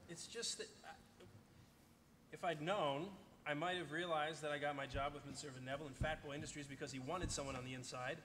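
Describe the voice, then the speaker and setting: Actor doing a confused young boyfriend, sincere, halting, highish voice, echoey noisy hall. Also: male voice, fairly even intonation, a bit bright, close to an everyday voice. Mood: hurt, confused. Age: young adult. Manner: hesitant, halting. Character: bewildered, sincere young boyfriend under questioning. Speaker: actor in a live table read. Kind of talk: live dramatic script reading. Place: large convention hall panel stage. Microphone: table microphone. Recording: echoey convention hall PA, background noise.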